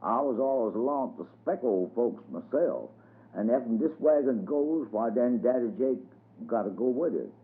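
A man's voice: mid-range voice, expressive, moderate pace, lightly acted. A man voicing an older woman servant who runs things firmly, on a tinny radio broadcast. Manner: firm, bossy dialect speech. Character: elderly woman servant, commanding and firm. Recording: tinny phone-like radio sound.

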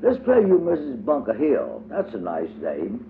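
A man's voice: medium pitch, huge pitch swings, unhurried, vocal fry, voice pushed up, full character voice. A man voicing a bossy little girl who gives orders to the others. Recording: tinny phone-like audio, faint hiss.

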